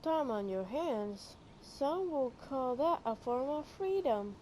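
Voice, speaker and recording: A woman's voice: high voice, animated, takes it slowly, darkish tone, a little hiss or hum. An actress playing a composed, polite young female traveler in an English video game. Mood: businesslike. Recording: music behind the voice. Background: game music.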